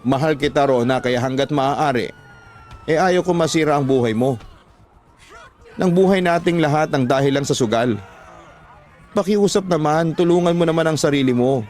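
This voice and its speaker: A man's voice: fairly high pitch, moderate pace, long pauses, a little mellow, close to an everyday voice. A man playing a frustrated husband in a radio drama, emphatic, scolding and pleading. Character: frustrated husband pleading with gambling-addicted wife. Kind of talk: Tagalog radio drama acting.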